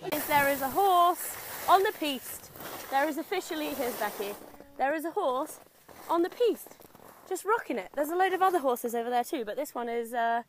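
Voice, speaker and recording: A young woman filming a casual vlog on a handheld camera, chatting brightly and laughing often. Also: high voice, expressive, moderate pace, slight background noise. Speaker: vlogger host. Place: outdoors on a ski slope and in a chalet kitchen.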